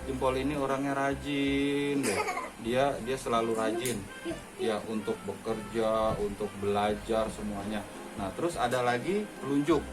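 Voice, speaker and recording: Chatty dad telling a story. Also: male voice, mid-range voice, steady tone, music in the background, low-quality recording. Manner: chatty, halting, many fillers, addresses listeners as friends. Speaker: father telling a bedtime-style story to his children. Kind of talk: casual storytelling.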